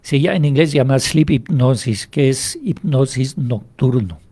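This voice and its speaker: Man, mellow warm tone, explains patiently, poses his own questions, radio guest. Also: mid-range voice, husky. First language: French. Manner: explanatory, measured, asks and answers own questions. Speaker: hypnotherapist guest. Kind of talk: radio interview. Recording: radio interview.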